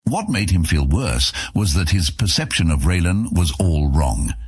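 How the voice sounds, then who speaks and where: Deep, husky male storyteller with lively delivery. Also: unhurried, dry recording, clean studio sound. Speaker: narrator of a fantasy audio serial. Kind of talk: dramatic scripted storytelling narration.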